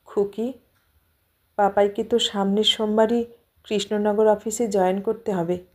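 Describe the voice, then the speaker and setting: A grieving young widow from an audio story, acted by a woman narrator. She is earnest and dignified, with feeling in her mid-pitched voice and resolve beneath the sorrow. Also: flat delivery, unhurried, darkish tone, close to an everyday voice. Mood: subdued, earnest. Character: grief-stricken young widowed sister-in-law, dignified and resolute.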